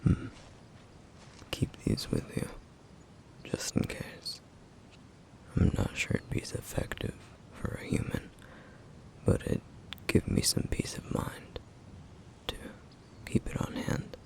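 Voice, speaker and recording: A man voicing a stern yet caring flame demon in an ASMR roleplay, speaking in a hushed, gravelly whisper with measured, formal phrasing. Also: natural voice. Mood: calm, instructive. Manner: measured, formal, slightly old-fashioned phrasing.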